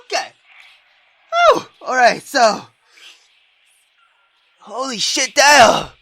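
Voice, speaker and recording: A male gaming YouTuber with a bright, fairly high and very animated voice. He reads a visual novel dramatically and breaks into excitable, sweary commentary. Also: very slow. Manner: expressive, theatrical, sweary.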